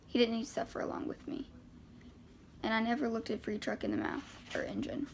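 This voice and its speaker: A woman with a creaky, fry-laden voice reading first-person novel narration aloud as an amateur audiobook reader. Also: a little high, very animated, fairly quick, a little hiss or hum.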